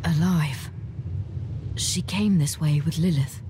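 An actress playing a solemn, guarded seer in a dark fantasy game, grave, measured and understated. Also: female voice, low voice, slight background noise.

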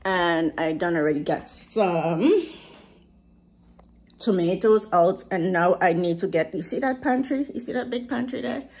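Lower-pitched Caribbean woman vlogging. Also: a little slow, a little mellow. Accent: US Virgin Islands Caribbean. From US Virgin Islands. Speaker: vlogger, host. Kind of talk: casual vlog chat to camera. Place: home kitchen, indoors.

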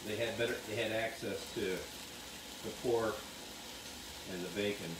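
A man's voice: on the low side, fairly even intonation, unhurried. Man cooking in an echoey kitchen. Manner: chatty, explains while he works. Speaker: cooking show host. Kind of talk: casual cooking demonstration. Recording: roomy kitchen sound, mic at a distance, clear background noise. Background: frying and sizzling.

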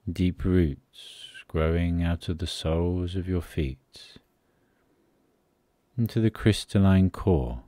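A man leading a guided sleep meditation in a soothing, unhurried manner, pausing between short phrases. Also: deep voice, dark tone, no echo.

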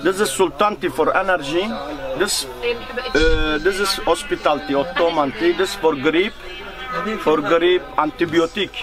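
A man's voice: on the high side, unhurried, over music. A man in his thirties, a non-native English speaker, showing products in a small shop with clipped lists in broken English. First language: not English. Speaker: tea shop vendor. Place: small spice and tea shop. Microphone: handheld camera a step away.

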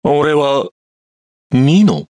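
A man voicing a savage, dazed mercenary for a video game, gravelly and raspy, halting, intense and menacing. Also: medium pitch, big pitch swings, a little mellow, no echo, clean studio sound. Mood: dazed, brooding. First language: Japanese. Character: savage, dazed male mercenary, a mad dog. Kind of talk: Japanese video game voice acting.

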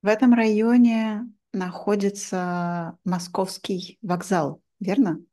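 A woman in her thirties hosting a simplified interview for learners, asking short, clearly phrased questions into a desk microphone. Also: medium pitch, fairly even intonation, slow, mellow. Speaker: host, interviewer. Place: home room, video call.

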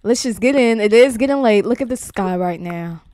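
A woman's voice: mid-range voice, lively intonation, normal speed, mellow, no echo, natural voice. A woman playing a flustered granddaughter and household peacemaker in an improvised online roleplay, casual and exasperated. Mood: bossy, hushed.

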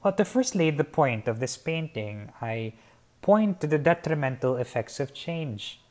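A young man from the Philippines explaining his own painting in a measured, earnest, formal way, seated on a garden patio. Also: fairly high pitch, very animated, darkish tone. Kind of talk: reflective artist talk. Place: covered patio by a garden, outdoors.

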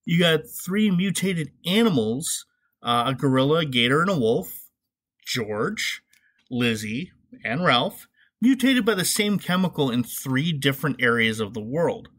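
A man's voice: fairly high pitch, big pitch swings, unhurried, fairly bright tone. A man hosting a podcast solo, giving casual, wry commentary with an informal, joking manner. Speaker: podcast host.

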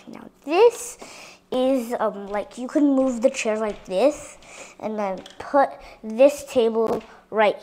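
A fairly high female voice talking slowly through a dollhouse tour, chatty and direct with her viewers. She sometimes sinks into hushed whispers as she handles the pieces. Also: a little hiss or hum. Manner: chatty, drops to soft whispers at times. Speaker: toy reviewer. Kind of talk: casual toy tour for viewers.

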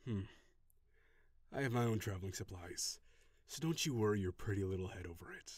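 A man playing a low-voiced, gruff, proud dragon. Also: lively intonation, a little fast, darkish tone. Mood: grave, gruff. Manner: brusque, defensive, grudging, sighing. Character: proud, gruff, tsundere male dragon warrior. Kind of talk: acted audio roleplay.

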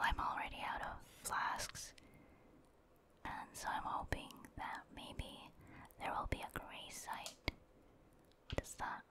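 A woman whispering casual, gentle ASMR commentary as she thinks aloud while playing a video game. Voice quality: breathy, voiceless whisper. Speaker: gaming ASMR streamer.

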